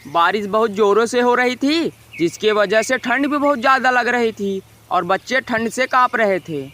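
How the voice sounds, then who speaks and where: A man narrating a scripted animated children's moral story. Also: extremely high pitch, normal speed.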